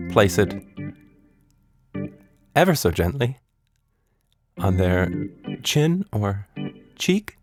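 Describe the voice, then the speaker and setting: A man hosting and telling stories for children, talking to them directly in a playful, conspiratorial way. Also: fairly low pitch. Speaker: host, author and storyteller. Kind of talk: children's storytelling podcast.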